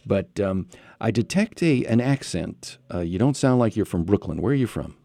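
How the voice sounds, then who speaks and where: A man's voice: lowish voice, lively intonation, moderate pace, vocal fry, mellow, no room sound. A man hosting an interview podcast on a headset mic, chatty and rambling with many follow-up questions. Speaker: podcast host. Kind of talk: casual interview chat.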